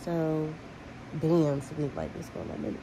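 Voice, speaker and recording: A woman's voice: low voice, big pitch swings, moderate pace, mellow, slight background noise, poor audio. A young woman, chatting casually and bluntly to her vlog audience while tallying money aloud. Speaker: vlogger. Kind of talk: casual vlog talk to camera.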